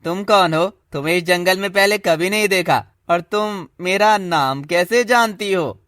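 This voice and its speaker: A man voicing a naive, trusting parrot in an animated moral story, in an earnest manner. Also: high voice, a little slow, no echo. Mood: curious.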